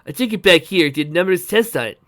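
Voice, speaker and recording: A man voicing an excitable old uncle who is an inventor, explaining things with emphasis. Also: on the high side, fairly quick, pitched above the performer's own voice. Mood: exulting, earnest.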